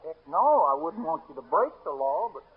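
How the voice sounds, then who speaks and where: A man's voice: a little high, very animated, fairly quick, husky, phone-quality sound, exaggerated voice. A man playing a cheeky, broke hayseed sidekick with a folksy drawl in old-time radio comedy. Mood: sly, boastful. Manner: folksy, wisecracking, ungrammatical drawl. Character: broke, cheeky hayseed cowboy sidekick, self-styled playboy. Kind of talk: 1940s-50s radio comedy acting. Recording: noisy band-limited old radio broadcast.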